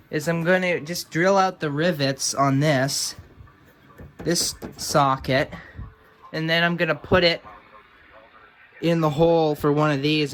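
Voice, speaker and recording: A man's voice: fairly high pitch, fairly bright tone. A man talking casually and off the cuff, in an offhand, self-deprecating way, as he narrates a hands-on repair. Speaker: hobbyist radio repairer presenting own video.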